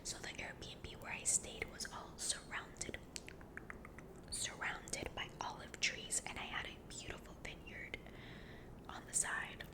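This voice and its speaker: A young woman whispering a rambling story close to the mic, softly repeating words to herself. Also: a little slow. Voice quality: unvoiced whisper.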